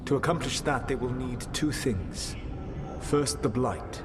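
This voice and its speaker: A man playing an ancient imprisoned elven rebel in a video game, solemn and calculating, speaking in measured, formal, eloquent phrases. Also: mid-range voice, over music, lightly acted. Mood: grave, explanatory. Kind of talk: video game cutscene acting.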